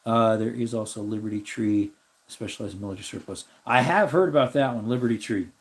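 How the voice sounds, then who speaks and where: A man hosting a casual live stream, answering viewer comments and thinking aloud as he looks things up. His voice is slightly raspy. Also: on the low side, fairly quick. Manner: rambling, thinks aloud while looking things up.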